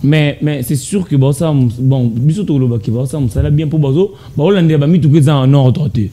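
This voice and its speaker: A Congolese man with a Congolese French accent, hosting an interview and asking questions in a halting way with repeated words. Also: on the high side, dark tone. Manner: halting, repeats words, brief backchannel "mhm". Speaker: host interviewer.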